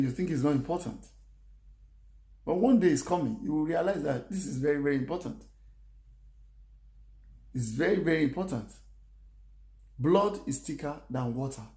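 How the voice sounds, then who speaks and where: A man's voice: fairly high pitch, mellow. Earnest male language teacher, echoey room. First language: Yoruba. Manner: earnest, repetitive, encouraging. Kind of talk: spoken language lesson.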